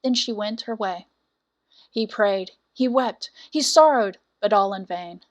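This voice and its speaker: A woman reading a scripted fairy tale as a storyteller, with dramatic, deliberate phrasing. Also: mid-range voice. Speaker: storyteller, audiobook narrator.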